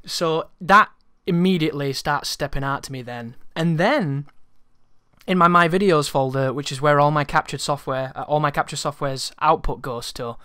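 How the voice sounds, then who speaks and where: A man's voice: a little high, moderate pace, sharp tone, clean tone, no echo. A man telling a casual, rambling story to his viewers in an exasperated, sweary way, close to the microphone. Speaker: YouTuber.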